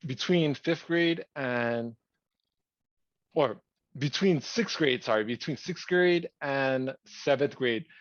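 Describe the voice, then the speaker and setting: A man's voice: mid-range voice, no room sound. Guy, rambly podcast chat, dull dry lo-fi sound. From Hawaii. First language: Hawaiian. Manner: thoughtful, rambling, many pauses and restarts. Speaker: podcast co-host.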